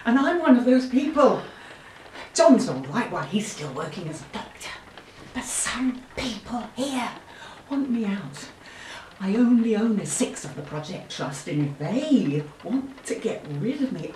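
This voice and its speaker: A woman's voice: on the high side, big pitch swings, unhurried, a little mellow. Audio play: actress as worried, reflective London woman of seventy, off-mic with faint noise. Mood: anxious, pleading. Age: 70s. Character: anxious seventy-year-old London woman fearing eviction. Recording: roomy, distant, faint background noise.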